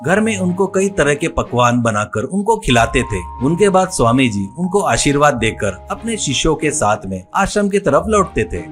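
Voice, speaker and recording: A man narrating an animated moral story in a plain, steady storytelling manner. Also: a little high, normal speed, few pauses, soft background music.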